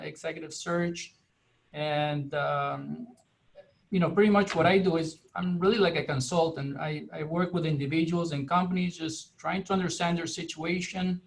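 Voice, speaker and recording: A man talks casually on a group video call. His voice sits fairly high and has a noticeable creak. He speaks tentatively, stopping and starting as he goes. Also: poor audio. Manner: tentative, halting. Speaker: consultant, meeting participant.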